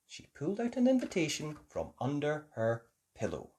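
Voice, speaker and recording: Lively low-voiced woman reading a storybook. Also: normal speed, a little hiss or hum. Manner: lively, sing-song storytelling. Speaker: storybook reader. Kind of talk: reading a picture book aloud.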